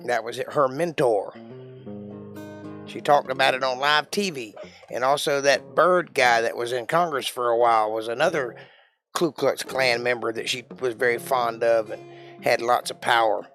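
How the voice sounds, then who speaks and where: A man's voice: mid-range voice, normal speed, fairly bright tone, soft background music. Opinionated man ranting on stream. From Georgia, USA. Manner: emphatic, opinionated, rhetorical questions. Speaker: live stream host. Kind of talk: casual political rant to chat.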